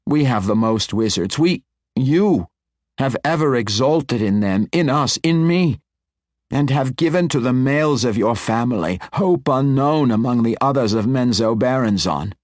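A man voicing an imperious female dark elf matriarch, sharp and demanding. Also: medium pitch, sing-song, unhurried, husky, no echo, studio quality, pitched above the performer's own voice. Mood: commanding. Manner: sharp, demanding, raised intensity.

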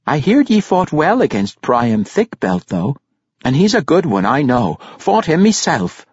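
Man voicing a scolding dwarf mother, pitched well up. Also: wildly swooping pitch, unhurried, a little mellow, slightly rough, dry recording, dull audio, full character voice. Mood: gruff praise. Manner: exasperated, blunt, rustic speech. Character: scolding, proud warrior mother, a dwarf woman.

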